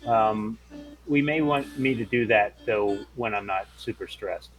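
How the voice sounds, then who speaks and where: Male tabletop player, mid-pitched, relaxed casual chat, light music underneath. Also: unhurried, rough recording.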